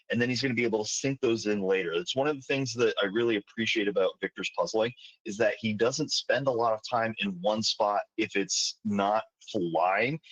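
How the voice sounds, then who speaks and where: A man giving live play-by-play commentary on a competition stream, upbeat and encouraging with quick asides. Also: on the low side, no room sound. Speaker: commentator co-host. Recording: dull dry lo-fi mic.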